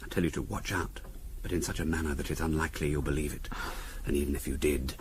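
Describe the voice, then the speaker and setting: An actor playing a wry, teasing middle-class English husband in a 1970s radio drama, conversational with deliberate pauses. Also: male voice, rumbling low, steady tone. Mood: teasing, provocative. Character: teasing middle-class husband, dryly morbid, provocatively theorising. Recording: faint hiss on 1970s radio recording.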